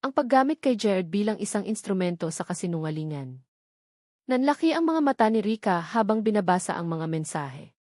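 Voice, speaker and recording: A woman narrating a scripted romantic drama story in a measured, dramatic storytelling manner. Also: medium pitch, big pitch swings, mellow, no echo, clean studio sound.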